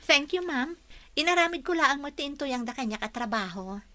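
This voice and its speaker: A woman's voice: sits high, animated, moderate pace, sharp tone. An Ilocano-accented woman playing a cheerful, modest training coordinator in a radio drama. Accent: Filipino (Ilocano).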